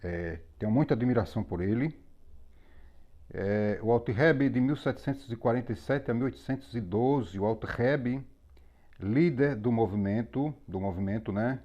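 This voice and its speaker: A man teaching a religious lesson on Hebrew letters, explaining methodically with pauses and repetition. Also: mid-range voice, dark tone. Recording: slightly dull lo-fi recording.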